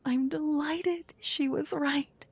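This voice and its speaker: A woman playing a playful, haughty, easily bored queen in a fantasy ASMR roleplay, soft-spoken and teasing. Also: high-pitched, steady tone, slow, faint music behind. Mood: smug, delighted. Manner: theatrical, teasing, soft-spoken with whispery phrases. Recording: close dry ASMR microphone.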